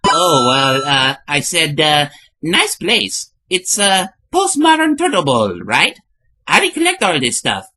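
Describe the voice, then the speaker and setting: A woman's voice: low-pitched, big pitch swings, a little slow, background noise. A woman voicing a nervous, wisecracking male plumber hero in a comic book fan dub, hesitant and exclamatory. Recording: music behind the voice.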